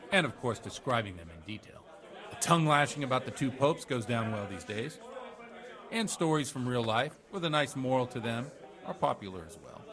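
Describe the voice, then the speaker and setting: An actor playing a worldly, avuncular middle-aged village priest with a measured manner in a medieval video game. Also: male voice, medium pitch, close to an everyday voice. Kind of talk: video game cutscene dialogue.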